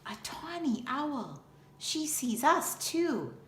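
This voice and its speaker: A woman in her fifties, a teacher reading a children's picture book aloud in an expressive, deliberate way. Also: sits high. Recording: roomy echo, faint background noise.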